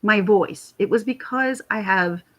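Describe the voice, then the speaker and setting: Raspy-voiced woman chatting on a vlog. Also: medium pitch, measured pace, a bit bright. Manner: rambling, chatty, laughs often. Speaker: YouTube commentator. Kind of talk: casual talking-to-camera vlog.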